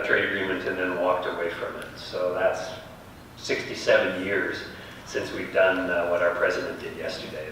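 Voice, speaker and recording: Bright-voiced man lecturing in an echoey room. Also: sits low, distant mic, slight background noise. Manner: conversational, loud, projects his voice. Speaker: guest speaker. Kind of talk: public lecture. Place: small event room. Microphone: handheld mic held away, then off.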